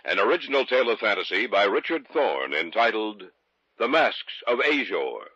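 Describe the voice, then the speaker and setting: A man's voice: fairly low pitch, very animated, moderate pace, pushed and bright, highs cut off, heavily acted. A man playing the theatrical host of a 1950s radio drama series on the supernatural, beckoning listeners in. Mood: announcing. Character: ominous male host of a supernatural hall. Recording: echoey old radio recording with long reverb.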